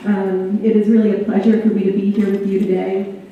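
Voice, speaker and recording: A middle-aged woman from Mexico, giving an informal, personal guest speech with frequent ums and pauses. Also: mid-range voice, flat delivery, dull audio. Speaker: guest speaker, attorney. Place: large echoey hall at a college ceremony. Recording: very echoey hall, distant, faint noise.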